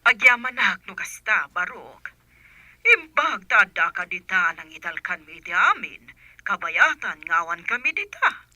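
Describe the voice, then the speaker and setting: An actress playing a talkative, familiar woman in an Ilocano radio drama, with expressive, chatty delivery. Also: mid-range voice, measured pace, no echo, heavily acted, rough recording. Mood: pleased, friendly.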